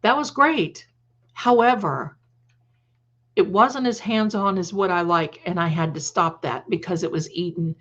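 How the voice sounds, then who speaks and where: A woman's voice: fairly low pitch, a little slow, a bit bright, muffled recording. A woman hosting a casual live chat, rambling and repeating phrases, with affectionate endearments for her viewers. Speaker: livestream host and creator.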